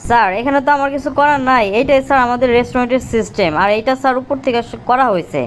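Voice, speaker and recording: A woman's voice: high voice, rapid-fire, slight background noise, natural voice. A woman with a Bangladeshi accent playing a polite, apologetic restaurant cashier in a cartoon skit, speaking deferentially.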